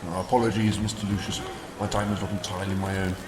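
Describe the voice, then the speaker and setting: A man's voice: low voice, level tone, fast talker, heavy background noise, natural voice. A man playing an earnest, anxious informant in a radio drama, speaking formally and carefully with some urgency. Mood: uneasy, urgent. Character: anxious, earnest male informant from a political brotherhood.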